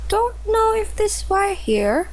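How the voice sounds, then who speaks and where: Woman acting a high-voiced, proper mother. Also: big pitch swings, slow pace, exaggerated voice, rough recording. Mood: polite. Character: proper, earnest, slightly flustered middle-aged mother. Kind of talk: radio play acting.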